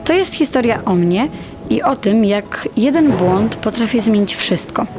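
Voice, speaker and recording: Shy teen girl telling her story in a radio play, quiet n confiding, music behind her. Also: on the high side, expressive, a little slow. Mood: reflective. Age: teenager. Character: Zosia, shy insecure high-school girl narrating her story. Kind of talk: audio drama first-person narration. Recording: music bed under the voice.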